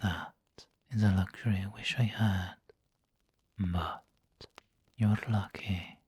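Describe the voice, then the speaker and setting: Man playing a breathy, low-voiced doting vampire lord. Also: fairly even intonation, heavily acted. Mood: tender, coaxing. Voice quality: breathy, whispery. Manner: slow, gentle, intimate coaxing. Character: refined, doting male vampire lord, seductive and protective. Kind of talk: ASMR roleplay.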